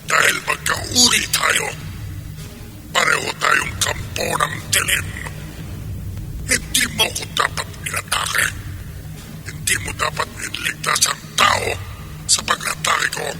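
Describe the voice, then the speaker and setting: Gravelly, menacing demon dog. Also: male voice, a little high. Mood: angry, pleading. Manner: menacing, drawn-out declamation. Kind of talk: horror radio drama acting. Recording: loud dramatic music under the voice.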